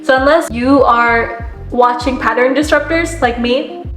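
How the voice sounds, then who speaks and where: A woman's voice: high voice, unhurried, fairly bright tone. Young female self-help vlogger, earnest and direct, voice a little worn; roomy echo. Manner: earnest, frequent "like" fillers, direct address. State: losing her voice, sniffly. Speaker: self-help content creator. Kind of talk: casual motivational talk to camera. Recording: echoey room with faint music.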